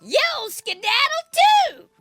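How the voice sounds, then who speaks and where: A man plays a storybook frontier saloon woman with a piercingly high, bright voice. She drawls slowly and folksily, as if warning off strangers, with music behind her. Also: huge pitch swings, no room sound, heavily acted. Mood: folksy warning. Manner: folksy cowboy-western drawl. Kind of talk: storybook character voice.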